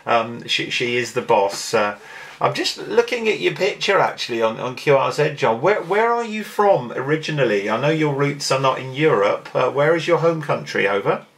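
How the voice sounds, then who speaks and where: A man's voice: mid-range voice, very animated, moderate pace, vocal fry, fairly bright tone. A middle-aged man with an English accent, chatting in an easygoing way over amateur radio. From England. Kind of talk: casual radio contact chat.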